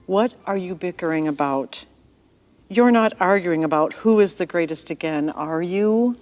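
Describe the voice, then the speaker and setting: A woman voicing Jesus as a gentle, kind grown man speaking tenderly in a calm storybook reading. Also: lowish voice, moderate pace, soft background music, close to an everyday voice.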